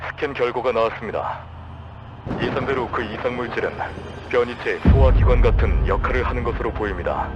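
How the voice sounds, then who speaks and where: A man's voice: mid-range voice, natural voice. A man playing a formal, clinical mission-control officer giving a briefing in a video game, heard through a dull radio-like channel. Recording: dull band-limited radio sound, music behind.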